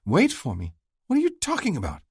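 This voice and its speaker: A man's voice: a little high, wildly swooping pitch, a little fast, breathy edge, no room sound, clean studio sound, voice pushed up, heavily acted. A man voicing an upset young woman who talks back sharply and defensively. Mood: angry hurt.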